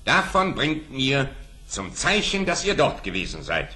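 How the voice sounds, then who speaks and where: Sharp, forceful sultan. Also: male voice, medium pitch, huge pitch swings, unhurried, full character voice. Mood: stately, curious. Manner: stately, commanding, theatrical. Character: rich, greedy sultan, grand and commanding father. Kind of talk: radio play acting for children.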